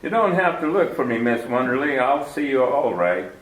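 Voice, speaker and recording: A swooping, very lively voice, with a man playing a jovial detective partner who is quick to flirt and rushes his lines. His pitch sits in the middle. The sound is echoey, in the manner of 1940s radio drama. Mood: brash.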